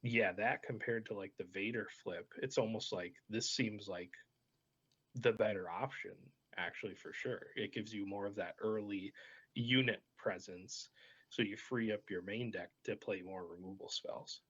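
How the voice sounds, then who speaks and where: A man's voice: on the low side, steady tone, a little slow, fairly bright tone, slightly rough. A man casually talking through a card game deck as a channel host, in an easygoing, colloquial way. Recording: dull, lo-fi voice track.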